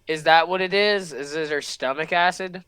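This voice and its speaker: A man's voice: fairly high pitch, normal speed, sharp tone, clear, resonant tone, dry recording. A man hosting a reaction video with casual, informal commentary.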